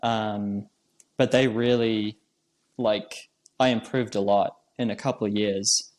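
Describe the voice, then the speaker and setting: A man's voice: fairly low pitch, slow pace. A young man from New Zealand, a trail runner, chatting casually as an interview guest and filling his talk with "like" and "um". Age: young adult. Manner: relaxed, with many fillers like "like" and "um".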